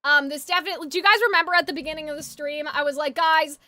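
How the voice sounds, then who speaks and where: Very high, bright, slightly congested woman streamer. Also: steady tone, rapid-fire. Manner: rambling, informal, opinionated with casual swearing. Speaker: game livestreamer. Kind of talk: casual livestream chat with viewers.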